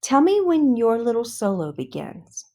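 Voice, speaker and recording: A woman reading a children's storybook, voicing an encouraging, exacting woman orchestra teacher who gives brisk, bright instructions. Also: fairly high pitch, big pitch swings, voice pushed up, heavily acted. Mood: bright, instructive. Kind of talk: children's storybook read-aloud.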